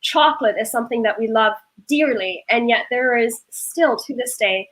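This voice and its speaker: A woman from Norway speaks on a panel in an earnest, passionate way. Her voice sits a little high. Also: moderate pace, a bit bright. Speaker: panelist, food startup founder. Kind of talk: panel discussion.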